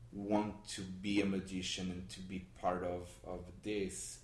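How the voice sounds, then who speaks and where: A man from Portugal with Portuguese as his first language, a magician guest speaking in a halting conversational interview with many restarts and fillers. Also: on the low side, a little mellow. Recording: roomy echo.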